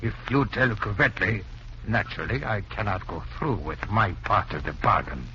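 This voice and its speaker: An actor playing a calculating Nazi agent who poses as a doctor, formal, measured and coolly menacing in a vintage radio drama. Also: male voice, fairly low pitch, sing-song. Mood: cold threatening. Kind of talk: 1940s radio drama acting. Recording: muffled, noisy old radio recording.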